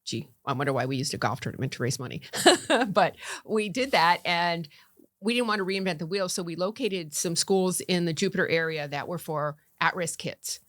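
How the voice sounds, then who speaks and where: Chatty lower-voiced woman, middle-aged. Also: moderate pace, fairly bright tone, no room sound, clean studio sound. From Connecticut, USA. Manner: chatty, laughs easily. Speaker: county commissioner and guest. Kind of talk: casual interview chat.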